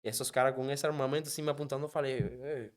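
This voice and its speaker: A man from Cuba, a native Spanish speaker with a Cuban accent, telling a story in a casual interview and slipping Spanish words into his Portuguese. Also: mid-range voice. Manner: animated, quick, mixes Spanish words into Portuguese. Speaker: guest, app driver.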